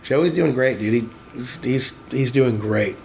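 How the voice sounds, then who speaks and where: A man in his forties shoots the breeze in a middle-pitched voice. He rambles, restarts sentences and swears freely. A faint noise runs underneath. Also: a little slow, vocal fry, a little mellow. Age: 40s. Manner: rambling, profane, many restarts. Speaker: tattoo shop worker. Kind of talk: casual chat.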